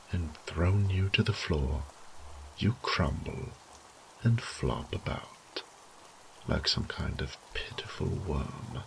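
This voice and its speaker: Man acting a deep, gravelly, unhurried vampire lord. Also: a little hiss or hum, heavily acted, poor audio. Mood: taunting, contemptuous. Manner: theatrical, taunting, drawn-out phrasing with breathy whispers. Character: sadistic, condescending male vampire lord. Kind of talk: scripted roleplay audio drama monologue.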